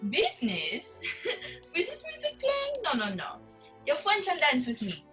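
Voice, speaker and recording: Imperious foreign adventuress for radio drama, woman performer: very high, animated delivery, theatrical airs. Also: measured pace, soft background music, exaggerated voice. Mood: playfully dismissive. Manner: theatrical, haughty, hesitant searching for English words. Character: haughty foreign adventuress, flirtatious and imperious society madame. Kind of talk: radio play acting.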